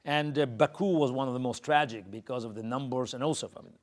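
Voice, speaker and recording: Halting middle-aged man, interview guest. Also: medium pitch. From Azerbaijan. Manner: halting, full of fillers and restarts. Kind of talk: reflective interview answers.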